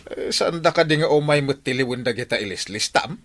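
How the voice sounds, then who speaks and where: A man with an Ilocano accent playing an excitable, suspicious villager in a radio comedy drama, full of questions. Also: mid-range voice, a little fast, dry recording. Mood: wary, questioning.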